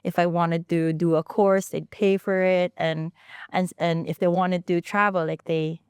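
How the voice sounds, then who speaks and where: A woman in her thirties talks through her story in a relaxed interview, looping back with restarts and fillers as she goes. Her voice sits fairly low and sounds smooth and clear. The sound is close and dry. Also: measured pace, dry recording. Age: 30s. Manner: chatty, many restarts and fillers, laughs easily. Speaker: founder and guest.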